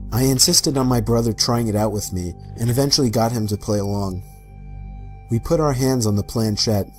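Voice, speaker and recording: A man reading a first-person horror story in a steady, matter-of-fact way. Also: medium pitch. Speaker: narrator.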